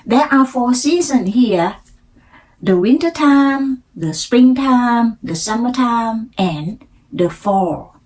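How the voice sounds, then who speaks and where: An older woman from Thailand with a Thai accent, a native Thai speaker, telling her personal story in halting, deliberate learner English. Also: fairly high pitch, lively intonation, darkish tone, poor audio. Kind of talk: unscripted personal storytelling practice to camera.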